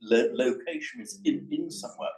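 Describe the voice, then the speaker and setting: A man's voice: medium pitch, expressive, moderate pace, a crowd in the background. A man teaching a language class, explaining grammar patiently and often repeating words as he thinks. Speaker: Pali teacher. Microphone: room recorder, away from the speaker. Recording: echoey room from a distance.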